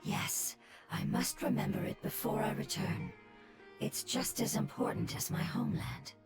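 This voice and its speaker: A woman's voice: medium pitch, moderate pace, lightly acted. Woman voicing a whispery, sad old dragon. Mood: wistful. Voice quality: soft breathy whisper. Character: gentle ancient female dendro dragon, sorrowful. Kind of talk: game voice acting. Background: loud game music.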